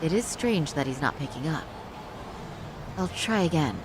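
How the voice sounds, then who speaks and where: An actress playing a poised, earnest young attorney in an English-dubbed crime game, speaking in an articulate, formal and firm way. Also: female voice, low-pitched, expressive, normal speed, noisy background. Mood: concerned.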